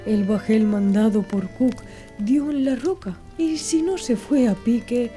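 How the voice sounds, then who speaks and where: A woman reading scripted literary narration as an audiobook narrator, in a measured, formal way. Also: fairly high pitch, warm tone. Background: music.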